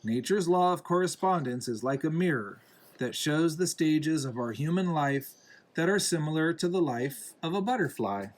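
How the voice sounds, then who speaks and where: A man reading a children's picture book aloud in a deliberate way, pausing between phrases. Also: fairly high pitch, expressive, slight background noise. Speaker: reader, parent reading to a young child.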